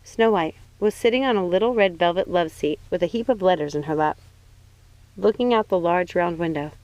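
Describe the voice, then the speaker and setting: A woman's voice: lowish voice, fairly quick, a little mellow, no room sound, dull audio. A woman reading a fantasy novel aloud as an audiobook narrator. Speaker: audiobook reader. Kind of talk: scripted fiction narration.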